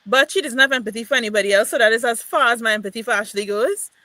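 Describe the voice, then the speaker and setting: Woman, bright tense voice, blunt, dry close sound. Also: on the high side, racing, no room sound. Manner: blunt, emphatic. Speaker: panelist. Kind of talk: casual chat about a reality show.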